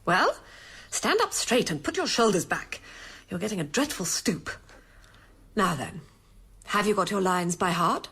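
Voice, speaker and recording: An actress playing an imperious middle-aged college headmistress in a radio drama, with a husky, haughty, clipped delivery. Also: female voice, medium pitch, sing-song, measured pace, long pauses, a bit bright, exaggerated voice. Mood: brisk, severe.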